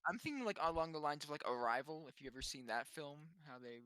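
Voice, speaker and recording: A man's voice: on the high side, animated, fast, bright, forceful tone, clear, resonant tone, no room sound. A man playing a tabletop roleplaying game, tentatively reasoning aloud in casual discussion.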